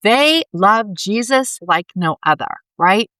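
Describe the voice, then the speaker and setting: A woman's voice: medium pitch, animated, takes it slowly, a bit bright, dry recording. A woman co-hosting a faith podcast, chatty and explaining, a bit stuffy from allergies, often tagging questions on her points. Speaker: podcast co-host. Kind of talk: casual faith chat.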